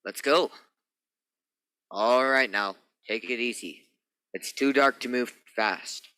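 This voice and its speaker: An actor playing a firm spaceship captain in a science fiction radio play, giving orders with authority. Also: male voice, medium pitch, takes it slowly, bright, forceful tone, clean tone, no echo. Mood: tense, cautious.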